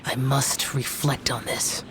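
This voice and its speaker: An actor playing a solemn, aloof young immortal demon slayer in a video game, speaking formally and stoically. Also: male voice, medium pitch, flat delivery, slow pace, background noise, lightly acted. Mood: somber, commanding. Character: aloof, brooding young male immortal demon-slaying warrior. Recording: faint game music behind the voice.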